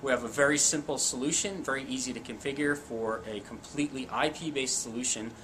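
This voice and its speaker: A man's voice: medium pitch, brisk. A man in his forties giving an informal, plain-spoken technical product demonstration. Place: indoor equipment lab or demo room. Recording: clear background noise in room.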